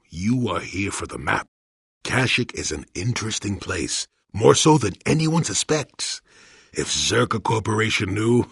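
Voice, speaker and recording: A crotchety old male hermit from a sci-fi video game, low and gravelly. He grumbles in a folksy, sardonic way, his pitch rising and dropping freely as he needles whoever is listening. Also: fairly bright tone, no room sound, clean studio sound, full character voice. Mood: stubborn, matter-of-fact. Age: older. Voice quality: gravelly, husky. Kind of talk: video game character acting.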